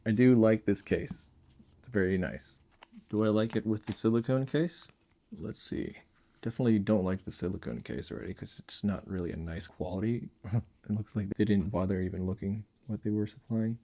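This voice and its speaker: A man's voice: lowish voice, mellow. A man giving casual, unscripted product commentary, thinking aloud in short, informal remarks as a reviewer.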